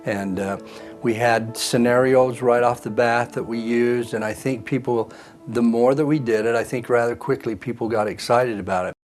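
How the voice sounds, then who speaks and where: A man's voice: mid-range voice, fairly even intonation, moderate pace. A man recalling his past work in a documentary interview. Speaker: interviewee, former dispatcher and trainer. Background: soft guitar music.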